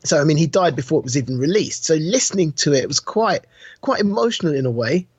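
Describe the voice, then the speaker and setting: A man's voice: fairly high pitch, lively intonation, fast talker, darkish tone, slightly rough, no room sound. A man chatting casually and enthusiastically as a podcast co-host, with informal, emphatic delivery. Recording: dull, muffled lo-fi audio.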